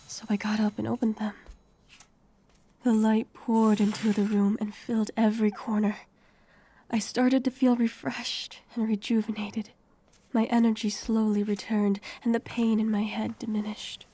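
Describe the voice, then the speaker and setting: A woman playing an earnest, anxious young heroine, narrating her own story in a measured way for an audio drama. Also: medium pitch, dark tone, natural voice. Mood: weary, reflective. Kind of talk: scripted first-person audio drama narration.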